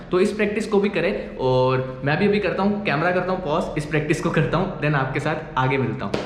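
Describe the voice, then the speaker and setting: Young Indian man in an echoey room. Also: a little high. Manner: informal, explanatory. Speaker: vlogger giving acting tips. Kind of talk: casual instructional vlog, mixing two languages. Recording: echoey room with background noise.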